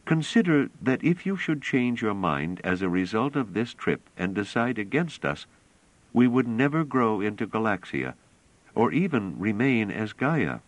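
A man voicing a calm young woman who explains patiently and earnestly. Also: fairly low pitch, a little slow, dry recording, pitched above the performer's own voice, poor audio.

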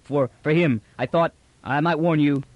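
A man's voice: on the high side, no room sound, highs cut off, voice pushed up, natural voice. A man voicing a young sergeant of farming stock who speaks in an agitated, stumbling rush with a thick rural accent. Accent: thick rural accent of the figure. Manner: agitated, rushed, stumbling over words. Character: excited young male peasant-born soldier.

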